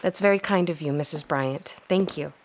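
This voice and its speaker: A woman's voice: sits low, moderate pace, darkish tone, slight background noise, close to an everyday voice. An actress playing a polite but proud young woman scholar in an audio drama, well-mannered with a dry wit.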